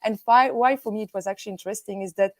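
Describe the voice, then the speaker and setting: A woman's voice: medium pitch, rapid-fire, no room sound, clean studio sound. A woman in her thirties from Belgium, a native French speaker, talking energetically as a guest in a live video interview, laughing often and using many fillers. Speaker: guest, tech company executive.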